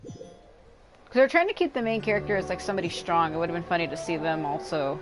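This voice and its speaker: A woman's voice: lowish voice, big pitch swings, a little fast, slight background noise. A woman doing casual, informal live commentary on a game, musing aloud as she goes. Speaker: game livestreamer.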